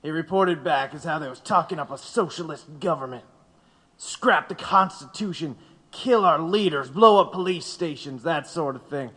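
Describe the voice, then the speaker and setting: Man playing a high-voiced, blunt police captain. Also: normal speed, pushed and bright. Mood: blunt, contemptuous. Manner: blunt, colloquial, ungrammatical. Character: blunt, folksy, hostile male police captain on witness stand. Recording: long hall-like reverb.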